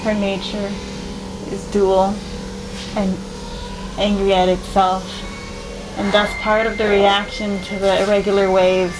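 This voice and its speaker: A woman narrating in a solemn, poetic, deadpan mock-documentary style. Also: mid-range voice, unhurried, noisy background. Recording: loud music behind the voice.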